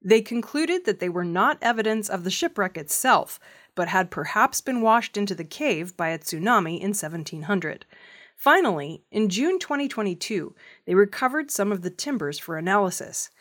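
A woman reads the news from a script as a presenter. Her voice sits at a middle pitch for a woman, and she delivers it as narrated reporting. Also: lively intonation, moderate pace, fairly bright tone. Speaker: news reader.

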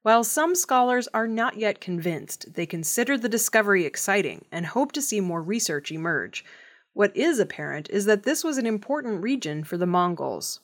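A woman reads scripted news as a presenter in a measured, formal, clearly enunciated way. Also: mid-range voice, big pitch swings.

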